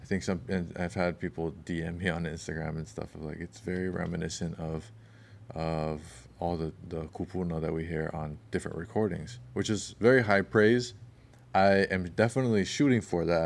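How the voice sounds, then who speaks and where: A man with a very deep voice and a gravelly texture co-hosts a casual podcast. He tells long, wandering stories in a relaxed way and sometimes drifts off his point before finding it again. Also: a little hiss or hum. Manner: rambling, storytelling, loses his thread. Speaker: podcast co-host.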